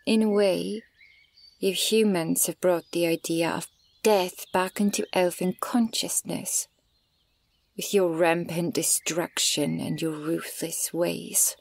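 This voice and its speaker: A woman playing a haughty, menacing, centuries-old elven archer in an ASMR roleplay, deliberate and condescending, at times nearly whispering. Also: lowish voice, creaky, exaggerated voice. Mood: brooding, solemn. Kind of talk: slow ASMR roleplay monologue.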